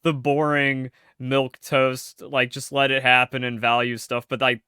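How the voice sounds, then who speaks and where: A young man co-hosting a casual podcast, ranting in bursts with lots of pitch jumps. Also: unhurried, clear, resonant tone, dry recording, studio quality. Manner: animated, pitch jumps when fired up. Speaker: podcast co-host. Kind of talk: casual political chat.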